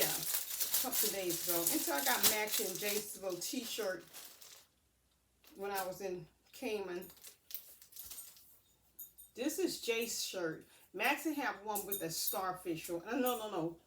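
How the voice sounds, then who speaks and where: A woman vlogger talks casually to her viewers in a folksy, rambling way, thinking aloud as she goes. Her voice sits at a middle pitch. The recording is echoey and picked up away from the mic, with faint noise behind her. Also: far from the mic. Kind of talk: casual unboxing vlog chat to viewers.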